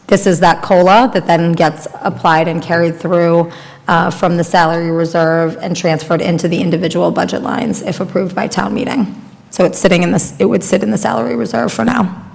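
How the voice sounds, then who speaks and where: A woman's voice: lowish voice, fairly even intonation, a little fast, creaky, darkish tone. A woman on the town finance staff explaining budget items to a committee in a firm, matter-of-fact way. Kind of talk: budget explanation at a public meeting. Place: town meeting room. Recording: long reverb of a meeting room.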